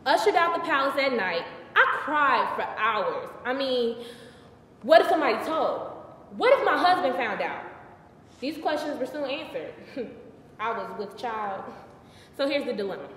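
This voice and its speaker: A woman's voice: high voice, very animated, normal speed, fairly bright tone. A young woman performing a live theatrical monologue as an indignant, wry biblical queen, conversational and emphatic. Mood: anxious. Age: early 20s. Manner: conversational, sassy, emphatic, exasperated. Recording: distant mic, echoey hall, faint noise.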